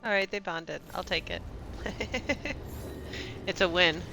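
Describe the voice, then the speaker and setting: A woman's voice: on the low side, expressive, slow pace, background noise. A woman doing casual live game commentary, chattily thinking aloud and reacting as she plays. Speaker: video game streamer.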